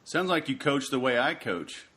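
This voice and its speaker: A male podcast host with a mid-range voice chats in a relaxed, joking way. He is laid-back and casual and keeps the talk light. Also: medium pitch, moderate pace. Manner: joking, laid-back, says "dude" and "man". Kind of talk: casual interview chat.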